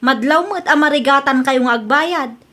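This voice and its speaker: A woman's voice: sits high, normal speed, sharp tone. A woman with an Ilocano accent playing a proud, disapproving daughter who lectures others in an audio drama.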